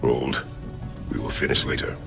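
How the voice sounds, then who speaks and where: An actor playing a stern, battle-worn warrior father in a video game, gravelly, terse and formal. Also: moderate pace, over music, heavily acted. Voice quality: gravelly, rumbling. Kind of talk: dramatic video game acting.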